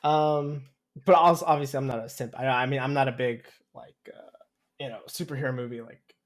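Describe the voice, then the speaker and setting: Give a young man with a mid-pitched voice. He talks as a podcast co-host in loose, casual chat. He rambles and hesitates, circling back and softening what he says. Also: fairly even intonation, brisk.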